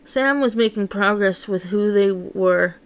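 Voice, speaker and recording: A woman's voice: mid-range voice, unhurried, smooth. A woman narrating a first-person story read-along in an emotive, theatrical way.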